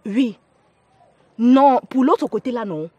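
Actress as young cameroonian gossip girl in a family drama, slippery and pleading, bit high and husky. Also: expressive, slow, no room sound, lo-fi sound, exaggerated voice. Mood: gossipy, reassuring. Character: gossiping young woman of the household, evasive and pleading.

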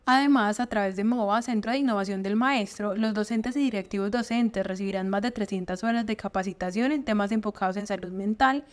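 A woman's voice: mid-range voice, brisk, few pauses. A woman reading scripted news narration as a voice-over.

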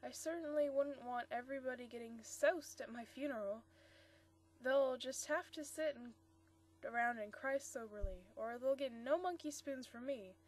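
A woman's voice: high voice, normal speed. A woman reading the part of a playful, eager young woman who gushes and teases. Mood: gushing. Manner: gushing, bubbly.

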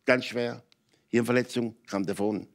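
An older man with a Swiss German accent, telling personal stories as a talk show guest in emphatic, halting phrases with repetitions. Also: medium pitch, steady tone, creaky. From Switzerland. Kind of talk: candid personal storytelling in conversation. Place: TV talk show studio with audience.

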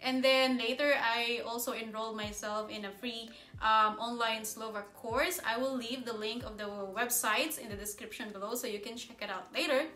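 Bright, high-voiced Filipino woman vlogger. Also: steady tone, moderate pace, roomy sound. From Philippines. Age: young adult. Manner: cheerful, giggly, friendly. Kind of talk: casual Q&A vlog, reading viewer questions. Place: living room, sitting on a sofa indoors.

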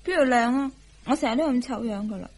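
An actress with a Hong Kong Cantonese accent playing a sweet, coy young newlywed wife in a radio drama. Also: female voice, fairly high pitch, quick pace, darkish tone, husky. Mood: coy, teasing.

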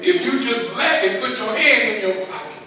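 An older man with a Southern US accent preaching a sermon as pastor, in a drawn-out call-and-response style, into a handheld mic in a large church. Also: very high-pitched, very animated, crowd noise. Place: large church sanctuary with congregation. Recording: very echoey church hall, heard from a distance. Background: congregation calling back.

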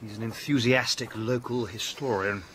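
An actor playing an edgy, hearty young gentleman in a radio drama, with hesitant, unsettled speech. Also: medium pitch, steady tone, moderate pace, slight background noise, close to an everyday voice. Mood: amiable.

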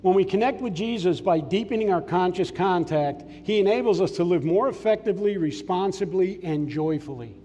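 An older man delivers an earnest, plainspoken sermon from a church stage, his voice a little higher than most men's but mellow and soft in tone. A long reverb from the sanctuary trails his words, and faint music sits underneath. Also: fairly quick, few pauses. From Massachusetts. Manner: earnest testimony, personal and plainspoken. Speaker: preacher.

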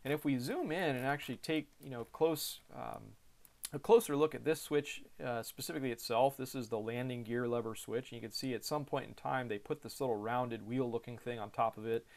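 An American man in his thirties walks through cockpit switches from a small room at his desk. His voice sits at an ordinary middle pitch. He talks casually, with lots of fillers and restarts. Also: a little fast, vocal fry, darkish tone. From United States. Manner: casual, many fillers and repeated words. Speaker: pilot instructor. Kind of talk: explaining a cockpit tour.

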